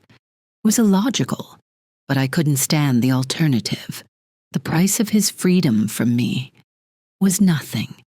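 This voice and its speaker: An actress playing a cold, controlling corporate executive in an audio drama, speaking in a clipped, deliberate, imperious way with a breathy edge. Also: female voice, sits low, darkish tone, no room sound, clean studio sound, heavily acted. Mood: indignant. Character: cold, controlling female corporate executive, divorced, armor cracking.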